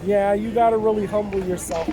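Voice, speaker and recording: A man's voice: high voice, steady tone, a little fast, music in the background, a crowd in the background, rough recording. A man from San Francisco answering questions in a casual street interview outdoors, speaking in a hesitant, thoughtful way. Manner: hesitant, thoughtful, restarts sentences. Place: busy city park outdoors.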